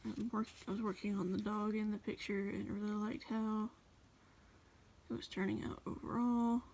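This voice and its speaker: A woman casually reading her journal aloud as a voice-over, in a rambling, halting way. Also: mid-range voice, flat delivery, a little mellow. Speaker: journal flip-through video creator.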